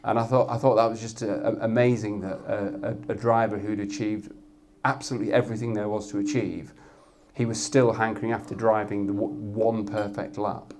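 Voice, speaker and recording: A man with a Yorkshire accent and a loud voice, speaking as a guest on a live industry panel and often restarting his sentences as he thinks. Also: fairly low pitch, flat delivery, fairly quick, noisy background. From Yorkshire, England. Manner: thoughtful, with frequent restarts and repeated words. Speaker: head of media effectiveness, guest. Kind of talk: panel discussion at an industry event.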